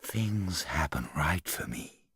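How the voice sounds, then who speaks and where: A man voicing a vain, self-absorbed glamorous actress in an audiobook, with a husky, breathy, gushing drawl. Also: mid-range voice, big pitch swings, measured pace, no echo, voice pushed up. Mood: awed, rapturous. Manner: gushing, childlike, languid drawl.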